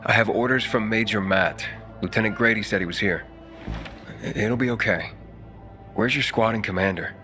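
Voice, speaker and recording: A man voicing a calm, matter-of-fact wanderer carrying orders. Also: fairly low pitch, moderate pace. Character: steady male wanderer, calm, dry. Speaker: messenger, player character. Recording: cutscene with faint score music.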